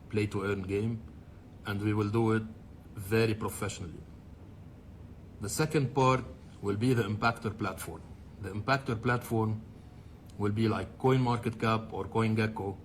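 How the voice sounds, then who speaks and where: A man from Lebanon with a Lebanese accent, giving a prepared, deliberate video statement as a project president. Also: lowish voice, fairly even intonation, slight background noise. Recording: played from a video.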